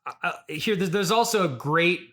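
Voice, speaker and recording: A man hosting an improvised comedy podcast interview, halting and apologetic at times, needling at others. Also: high-pitched, animated, a bit bright.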